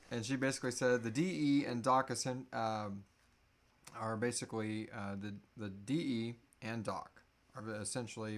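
A man's voice: fairly low pitch, a bit bright. A man in his thirties is hosting a live question-and-answer stream. He talks in a casual, loose and easygoing way, free with a swear word, recorded at desk distance in a small room at home. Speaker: podcast host.

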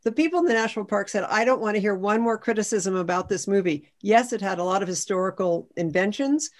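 A woman's voice: medium pitch, expressive, fast. A middle-aged American woman, a historian explaining things in a panel discussion on a video call. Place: indoors at home, video call.

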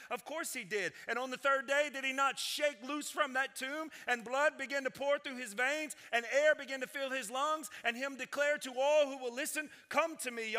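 A man in his forties preaches from a large church stage on a headset mic, his voice high-pitched and bright. He swings from loud, ringing declarations to quiet, intense stretches. The sanctuary's long reverb trails every phrase. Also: clean tone. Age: 40s. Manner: preaching with wide gestures, swinging from loud proclamation to hushed intensity. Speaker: senior pastor. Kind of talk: sermon.

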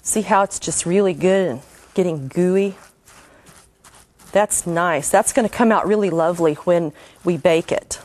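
A woman's voice: lowish voice, unhurried, pauses often, darkish tone. A middle-aged woman hosting a cooking show, giving homey, enthusiastic step-by-step instructions while she cooks. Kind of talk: cooking demonstration.

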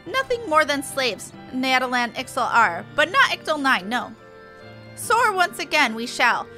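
A woman's voice: sits high, sing-song, measured pace, pushed and bright, soft background music, exaggerated voice, low-quality recording. A woman voicing an earnest, proud bird-man airship builder from a fantasy game, declaiming in inverted word order. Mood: solemn, proud.

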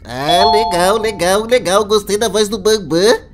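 A man's voice: sits high, unhurried. A man voicing a cheerful childlike cartoon sponge puppet, chatting playfully in Brazilian Portuguese as he hosts reactions. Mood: chatty. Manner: playful, repeats catchphrases to little friends. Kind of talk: casual reaction commentary.

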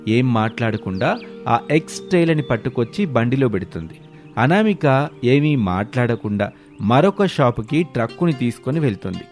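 A man's voice: mid-range voice, darkish tone. A man narrating a scripted moral tale as a storyteller.

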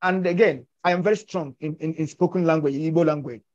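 A man's voice: a little high, quick pace, a little mellow. An older man telling a story emphatically and persuasively over a video call. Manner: emphatic, persuasive, gesturing with his hands. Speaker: filmmaker and actor discussing his film. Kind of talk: conversational storytelling. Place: indoors at home, virtual backdrop. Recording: muffled video call audio.

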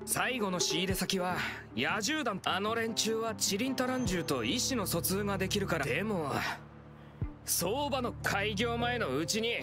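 Easygoing young male stall keeper for an anime game: high, lively, familiar. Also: moderate pace, music in the background. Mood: troubled. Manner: rough, familiar, casual speech.

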